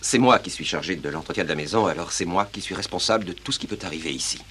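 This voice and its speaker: A loyal cartoon caretaker, earnest, formal and firm. The voice is low and moves quickly over faint noise. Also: male voice, fairly bright tone. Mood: grave, insistent. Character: loyal, earnest male caretaker taking the blame. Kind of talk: French-dubbed cartoon acting.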